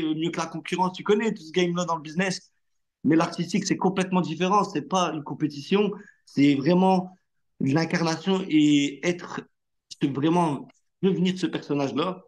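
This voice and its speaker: A man whose first language is Arabic, an actor telling stories in a relaxed interview, chatty, slangy and full of fillers. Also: on the high side. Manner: animated anecdote-telling with street slang and many fillers. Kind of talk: casual interview storytelling.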